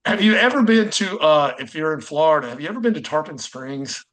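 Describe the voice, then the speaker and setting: A male podcast host with a fairly high, very bright voice. He chats informally and wanders a bit, as in a relaxed interview. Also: brisk, rough recording. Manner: chatty, informal, rambling. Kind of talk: casual conversational interview.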